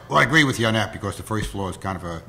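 A hoarse man with a cold, chairing a zoning board meeting in plain, folksy words, heard through a table mic in a town hall. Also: fairly low pitch, lively intonation, rapid-fire, pushed and bright, a little hiss or hum. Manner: plain-spoken, folksy, many fillers.

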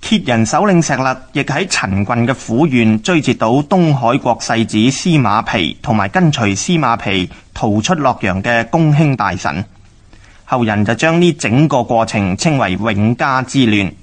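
A man's voice: mid-range voice, expressive, a little slow. A man reading scripted historical narration for a radio drama in a formal, storytelling manner.

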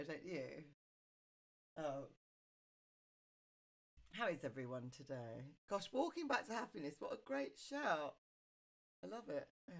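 A middle-aged woman with a low voice, casually chatting to viewers on her live request stream and muttering quietly to herself, close on a studio mic. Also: gravelly. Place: home music room, indoors. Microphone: studio condenser mic on boom arm, wearing headphones.

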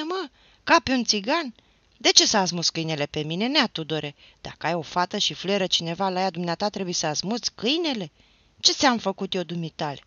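A man shouting as a furious young village lad. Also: on the high side, no room sound, natural voice. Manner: shouting, rapid, pleading in anger. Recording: dull, dry lo-fi recording.

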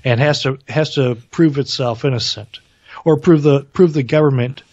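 Husky middle-aged man, dull lo-fi mic. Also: medium pitch, normal speed, a little mellow, dry recording. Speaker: talk show co-host. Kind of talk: casual political talk. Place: small home room, online video show. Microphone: close desk microphone, headset. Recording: dull, lo-fi microphone sound.

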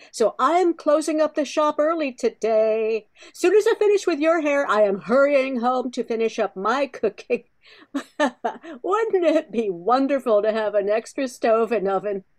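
Older woman as chatty hairdresser, high voice, friendly, amateur radio play. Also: lively intonation, unhurried.

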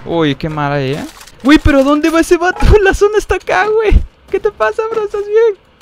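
A young man with a Mexican accent giving excitable, slangy live commentary while playing a mobile shooter, with frequent high outbursts. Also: a little fast, a little hiss or hum. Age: young adult. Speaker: gaming streamer. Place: indoor room at a desk, facecam. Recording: game music underneath. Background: game music.

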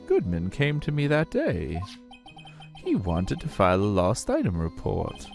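Corrupt older police chief for a game , voiced by a man: folksy, smug, menacing, hugely animated, with fry in the voice. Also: on the low side, measured pace, heavily acted. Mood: recounting. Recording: music behind the voice.

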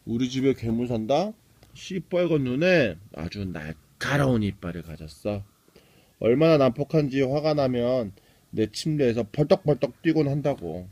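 A man's voice: medium pitch, lively intonation, moderate pace, a little mellow, no room sound, lightly acted. A man voicing a small, frail storybook girl who insistently tells monster tales.

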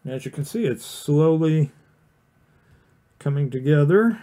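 A man's voice: a little high, animated, measured pace, mellow. A man teaching watercolor painting on a casual livestream, chatting and rambling with many fillers and restarts while he works. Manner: talks while painting, plain and encouraging. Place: indoor studio with overhead camera on the painting desk.

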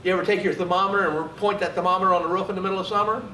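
A man's voice: high voice, steady tone, rapid-fire, bright, forceful tone, slight background noise. A man, a trainer teaching and quizzing students in a casual classroom manner. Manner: quizzing students, seated and gesturing broadly. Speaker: HVAC trainer. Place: training room with an AC unit. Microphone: camera mic from across the room. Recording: echoey room, voice from a distance.

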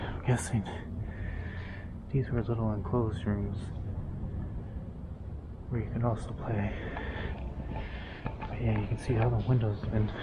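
Man murmuring while exploring a ruin. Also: very noisy. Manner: low-key, murmured, sometimes whispered. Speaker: urban explorer vlogger. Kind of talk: quiet running commentary while exploring. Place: abandoned school building, large indoor rooms.

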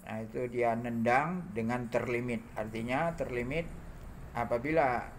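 A man narrating an informal hands-on demo, halting and muttering to himself as he works, recorded indoors on a handheld phone. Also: mid-range voice, slight background noise. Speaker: hobbyist electronics builder demonstrating circuits. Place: indoor home workbench.

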